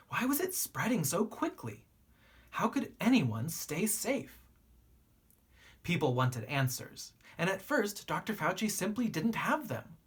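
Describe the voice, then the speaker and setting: Expressive, husky woman reading to children. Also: on the low side. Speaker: teacher reading a children's book aloud. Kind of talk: expressive read-aloud narration for children.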